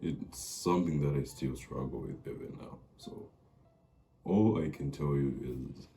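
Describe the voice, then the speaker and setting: A man's voice: a bass voice, measured pace, warm tone, a little hiss or hum. A young man talking earnestly to camera, hesitant and full of fillers and restarts. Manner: earnest, confiding. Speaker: vlogger. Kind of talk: personal talk to camera.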